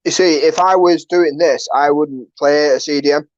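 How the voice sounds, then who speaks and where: A man's voice: fairly high pitch, fairly even intonation, moderate pace, no room sound. A man chatting casually as a panellist on a football fan show. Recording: dull, dry lo-fi audio.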